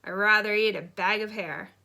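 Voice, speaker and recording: A woman's voice: medium pitch, steady tone, moderate pace, pushed and bright. A woman voicing an easygoing, joking older brother in an audiobook, reluctant but kind, with casual, emphatic phrasing. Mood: joking refusal.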